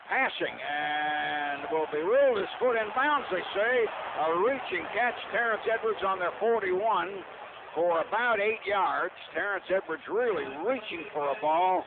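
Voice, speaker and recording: High-pitched man calling football on tinny radio. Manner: partisan, terse bursts, says "we" for the home team. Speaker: play-by-play sports announcer. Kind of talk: live American football radio commentary. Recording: tinny band-limited radio broadcast, noisy.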